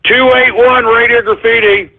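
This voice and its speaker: A man hosting a call-in radio show, brash and excitable, hurling insults at callers and often yelling. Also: extremely high pitch, normal speed. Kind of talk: loud ranting call-in banter. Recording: tinny lo-fi stream audio.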